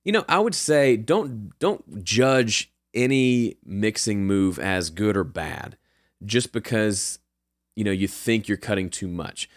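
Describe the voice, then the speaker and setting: A man's voice: fairly low pitch, slow, no echo. A man with a slightly nasal voice, hosting a show and casually answering listener questions from his home studio. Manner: relaxed, conversational, with fillers and restarts. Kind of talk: casual advice talk.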